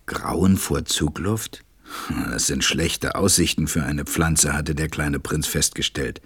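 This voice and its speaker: An actor playing a gentle, reflective storyteller, narrating a storybook radio play. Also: male voice, extremely low pitch, fairly even intonation, takes it slowly, slightly rough, dry recording, full character voice. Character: reflective adult male narrator recalling a little friend.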